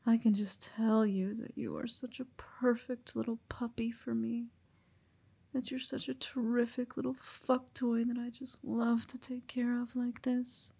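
A woman whispering slowly and teasingly into a close mic, in an affectionate, intimate roleplay. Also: on the high side, little pitch movement, gravelly, mellow, slightly rough. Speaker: audio roleplay performer.

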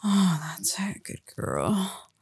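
A woman murmurs in an intimate, whispered roleplay, her voice husky and airy with a gravelly edge. She takes her time, speaking slowly and softly. The recording is close and dry, with no room sound around her. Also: huge pitch swings, dry recording. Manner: soft breathy whispering, close to the listener; low murmured coaxing with sighs. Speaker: audio roleplay performer.

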